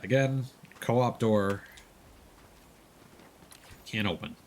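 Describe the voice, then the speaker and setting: A man's voice: fairly low pitch, animated, extremely slow, darkish tone, a little hiss or hum. A man doing live let's-play commentary, casually explaining the game as he plays. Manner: casual, instructive. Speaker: game streamer. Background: video game ambience.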